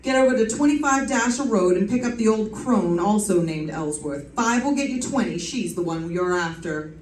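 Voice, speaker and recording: A wry, hardboiled female private eye acted by a woman in an old-time radio play. She is brisk, bossy and never flustered, telling her case and giving orders. The sound is roomy and picked up from a distance, with faint noise. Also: medium pitch, animated, darkish tone, close to an everyday voice. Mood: brisk, assured. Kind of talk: old-time radio detective narration and dialogue. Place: live stage performance, recorded from a distance.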